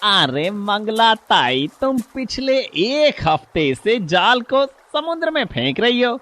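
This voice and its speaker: Man playing a sly, greedy fisherman, very high voice, slow deliberate delivery, faint hiss. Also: big pitch swings, bright, forceful tone, heavily acted. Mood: astonished. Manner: taunting, then panicky cries for help. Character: greedy, mocking male village fisherman. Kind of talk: cartoon story voice acting.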